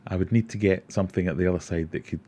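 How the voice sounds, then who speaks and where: A man's voice: low voice, fairly even intonation, rapid-fire, warm tone. A Scottish man from Glasgow hosting an aquarium livestream, casually answering chat questions and rambling with self-deprecating asides. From Glasgow, Scotland.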